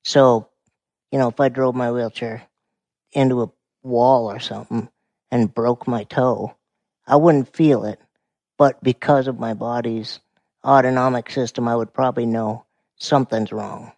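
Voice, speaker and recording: Quiet gravelly montana guy, 40s, explains things calmly, dry close sound. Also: mid-range voice, measured pace, dry recording. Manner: calm, explanatory. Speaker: guest. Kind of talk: casual conversation.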